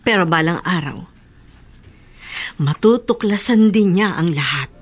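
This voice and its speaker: A woman playing a caring middle-aged aunt, gently reproving, in a Filipino radio drama with a Filipino accent. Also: lowish voice, lively intonation, a little slow, over music. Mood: gentle, earnest.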